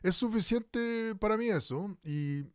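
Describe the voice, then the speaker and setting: A courteous male radio interviewer in a radio drama, formal and earnest. His voice sits fairly high and is recorded close and dry. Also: expressive, measured pace, smooth, no echo. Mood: polite, earnest. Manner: polite, formal, reassuring. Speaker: radio host. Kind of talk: radio drama acting as a live broadcast.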